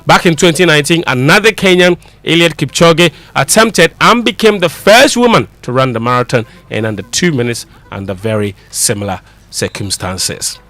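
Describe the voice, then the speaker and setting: A young man presenting sports news on a morning radio show, excitable and teasing in studio banter. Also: a little high, normal speed, few pauses. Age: young adult.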